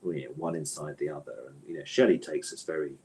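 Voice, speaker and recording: A man's voice: rumbling low, fairly even intonation, quick pace, warm tone, slight background noise. A man being interviewed as an author, talking conversationally in a thoughtful, hesitant way and often circling back to rephrase.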